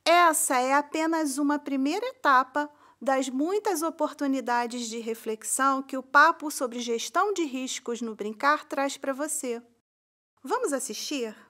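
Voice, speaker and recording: High-voiced woman presenting to the viewer. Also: unhurried, pushed and bright. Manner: friendly, inviting, addresses the viewer directly. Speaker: recreation analyst presenting a video. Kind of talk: scripted presentation.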